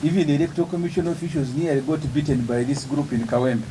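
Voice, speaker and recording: A man, an opposition politician giving an emphatic, measured press statement with pauses between phrases. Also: fairly high pitch, mellow, slight background noise, poor audio.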